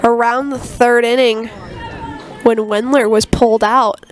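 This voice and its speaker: A woman's voice: on the high side, fairly even intonation, unhurried. A woman doing live play-by-play commentary for a game while recovering from a cold, reading sponsor spots between plays. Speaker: play-by-play announcer. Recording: crowd noise behind.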